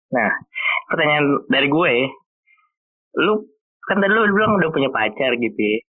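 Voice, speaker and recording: A man's voice: on the high side, very animated, normal speed, husky. A teenage boy hosts a loose podcast chat in a slightly raised voice, eager to ask and repeating his words. The audio is muffled and lo-fi. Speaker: host.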